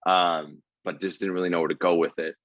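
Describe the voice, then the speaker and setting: A man's voice: deep voice, flat delivery, fairly quick, fairly bright tone, dry recording, highs cut off. A man in his twenties from Ohio, a guest comedian telling his life story in a casual podcast interview, earnest and full of fillers. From Ohio, USA. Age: 20s.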